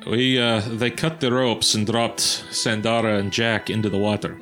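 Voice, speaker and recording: A man's voice: on the low side, level tone, moderate pace, a bit bright, faint music behind. A man recapping a tabletop adventure in character, with formal, old-fashioned phrasing and hesitations. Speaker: player recapping the story.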